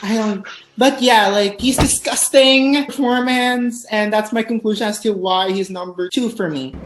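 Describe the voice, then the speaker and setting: A woman's voice: fairly high pitch, moderate pace, roomy sound, slight background noise. A woman hosting a casual chat, talking loosely with lots of fillers and easy laughter. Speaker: host.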